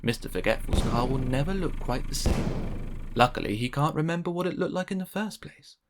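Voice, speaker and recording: A man narrating a children's storybook aloud in an expressive read-aloud style. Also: on the high side, a little fast, noisy background. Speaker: storybook reader.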